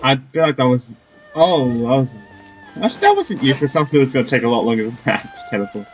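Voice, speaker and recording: A man's voice: mid-range voice, big pitch swings, fast talker, soft background music, low-quality recording. A man giving casual gameplay commentary in an informal, rambling way. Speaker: gamer, let's play host.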